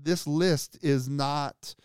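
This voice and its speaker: A man's voice: a little high, fairly even intonation, very slow, darkish tone, smooth, dry recording, clean studio sound. A man co-hosting a podcast, chatting casually and walking through a list with an upbeat manner and frequent restarts. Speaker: podcast co-host.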